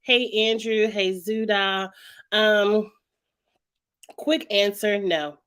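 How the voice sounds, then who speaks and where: A woman hosting her own vlog, talking casually and frankly about a reality show with a raspy, hoarse voice and frequent throat-clearing. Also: medium pitch, level tone, very slow, a bit bright. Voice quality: raspy, heavy voice. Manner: chatty, frank, greets live viewers, clears throat often. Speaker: vlog host. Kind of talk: casual reality-TV commentary chat.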